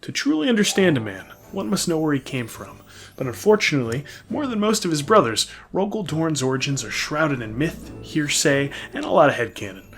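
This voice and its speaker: Male comedic narrator, slightly high voice, mock-epic and sardonic with irreverent asides, music underneath. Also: very animated, fairly quick, fairly bright tone. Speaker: narrator of a comedic fan lore video. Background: background music.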